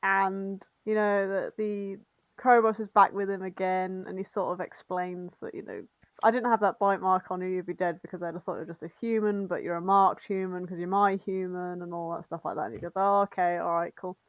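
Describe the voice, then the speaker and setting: A woman's voice: fairly low pitch, flat delivery, a little fast, no echo, phone-quality sound. A woman chats through a story like she is among friends, rambling and irreverent. She is prone to giggles, and the recording is close and dry. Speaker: podcast co-host reading and summarising fan fiction. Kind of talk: casual comedic storytelling chat.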